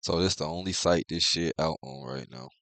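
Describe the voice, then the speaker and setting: Young male host, low congested voice, relaxed slangy delivery, dry close sound. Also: moderate pace, vocal fry, dry recording. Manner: laid-back slangy talk, heavy profanity. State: congested, clearing his throat. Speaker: manga review host. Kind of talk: casual chat.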